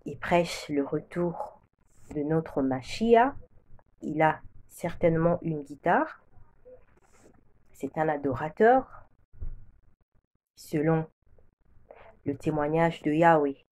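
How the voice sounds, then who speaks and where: Woman, from Congo, prophetic preacher, solemn repeated declarations, mellow tone, unhurried. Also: sits low, animated. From Democratic Republic of the Congo. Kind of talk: religious prophetic testimony.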